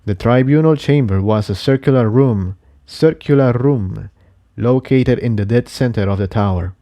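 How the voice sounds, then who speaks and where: A man's voice: medium pitch, normal speed, dark tone. A man narrating an adventure story from a script. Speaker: narrator reading fan fiction.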